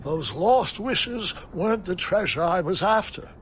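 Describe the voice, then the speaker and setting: An actor playing a whimsical old grandfather who narrates his adventures theatrically for a storybook-like game, savoring his words with gentle teasing. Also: high voice, expressive, measured pace, noisy background. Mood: wistful, dramatic. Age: older.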